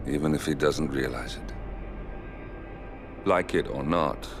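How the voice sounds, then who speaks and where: Resolute male ally; extremely deep, firm voice over music. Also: measured pace. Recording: game audio with music.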